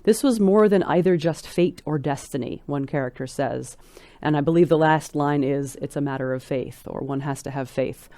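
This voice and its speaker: A woman speaking as a scholarly interview guest, articulate and precise, phrasing her thoughts in a measured, thoughtful way. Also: sits low, mellow, dry recording.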